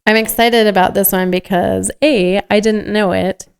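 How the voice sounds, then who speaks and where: A woman's voice: mid-range voice, steady tone, moderate pace, vocal fry, clean tone. A woman co-hosting a podcast, chatting casually and reading out items in a jokey, enthusiastic way. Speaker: podcast co-host. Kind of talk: casual chat with read-out news items.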